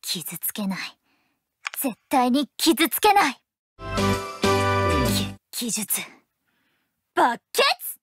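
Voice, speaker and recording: A woman voicing a gentle, protective demon girl in an anime-style game, halting, with muffled grunts and few words. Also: on the high side, big pitch swings, full character voice. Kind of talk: anime-style mobile game character lines. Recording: game voice clips over loud music.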